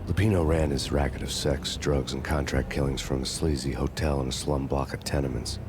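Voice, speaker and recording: An actor playing a weary, hardboiled cop who narrates a noir game in a flat, cynical deadpan. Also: male voice, extremely low pitch, fairly quick. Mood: grim. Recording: game soundtrack music behind.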